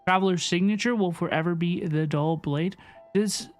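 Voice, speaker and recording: A woman's voice: on the low side, moderate pace. A woman giving casual, chatty playthrough commentary as a livestreamer, often repeating phrases for emphasis. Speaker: video game livestreamer. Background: soft game music.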